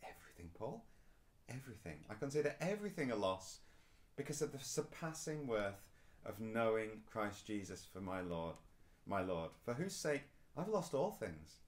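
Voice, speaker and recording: A man's voice: fairly low pitch, big pitch swings, normal speed. A man, preaching a recorded sermon to camera in a small indoor room, earnest and emphatic. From England. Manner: earnest, rising and falling emphasis, gestures while speaking. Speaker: pastor.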